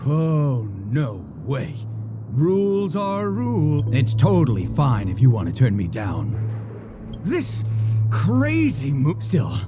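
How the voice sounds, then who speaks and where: A theatrical, wildly swooping game guard. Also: male voice, a little high, huge pitch swings, slow pace, exaggerated voice. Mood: giddy, gushing. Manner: gushing, stammering, breaking into giggles. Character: overexcited, giddy Bloodhound guard drunk on delight. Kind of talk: theatrical video game acting. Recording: music behind the voice. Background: game music.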